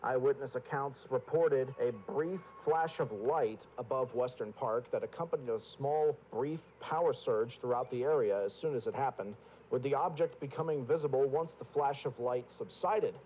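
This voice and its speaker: A man playing an earnest local TV news anchor, delivering a special report in a formal, measured announcer style. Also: mid-range voice, slight background noise, natural voice. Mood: grave, composed. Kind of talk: scripted news broadcast delivery. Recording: dull lo-fi TV audio under music. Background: music.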